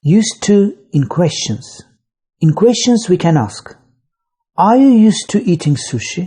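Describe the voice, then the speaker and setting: Slow, husky grammar-lesson narrator. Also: medium pitch, big pitch swings, dark tone. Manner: slow, deliberate reading of examples. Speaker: English teacher.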